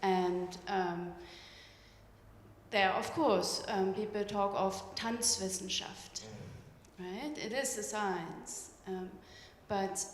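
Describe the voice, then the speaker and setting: A woman whose first language is German, asking a thoughtful, measured question at a symposium. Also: medium pitch, a little hiss or hum. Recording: echoey hall with long reverb, faint ambience.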